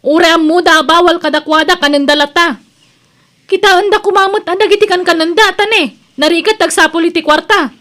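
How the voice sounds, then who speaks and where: A woman's voice: very high voice, brisk, fairly bright tone. A woman with an Ilocano accent acting a resentful, nagging young daughter in a radio drama. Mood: indignant. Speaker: drama voice actor.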